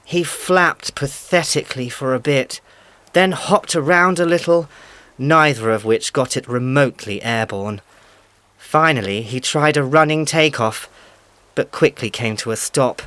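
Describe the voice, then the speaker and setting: Male storyteller, mid-pitched and measured. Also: fairly bright tone, dry recording. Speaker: narrator. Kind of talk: scripted storybook narration.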